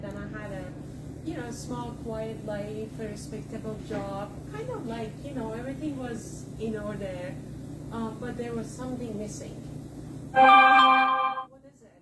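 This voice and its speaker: A woman in her forties who grew up in Iran talks through her answers slowly and thoughtfully, full of ums and restarts. Her voice sits in a middle range. It is picked up from a distance in a roomy office, under a loud layer of background noise. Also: a little high. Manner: reflective, many fillers and restarts. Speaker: author guest. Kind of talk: interview answers.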